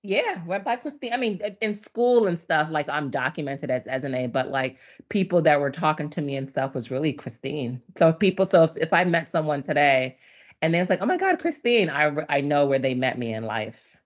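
A middle-aged woman born in Nigeria and raised in the US, telling her life story as a podcast guest in a chatty, animated way with frequent ums. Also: low voice, fairly quick. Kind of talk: casual conversational storytelling. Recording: dull lo-fi audio.

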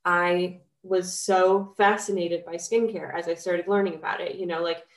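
A woman's voice: fairly low pitch, fairly even intonation, normal speed, reverberant. A young woman with a cold and a slightly nasal voice, chatting enthusiastically as a guest on a podcast, with lots of fillers. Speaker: skincare founder, guest. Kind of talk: casual podcast interview.

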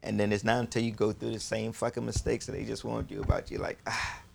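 A man's voice: lowish voice, monotone, fast talker, gravelly. A young man chatting casually, swearing freely and acting out quoted asides with animation. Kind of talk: casual chat.

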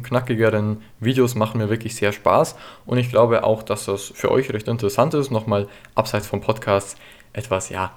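Nineteen-year-old male podcaster, easygoing solo chat, slightly low voice, filler-heavy delivery. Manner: relaxed and chatty, many fillers. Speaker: history podcast host. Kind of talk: casual solo podcast answering listener questions.

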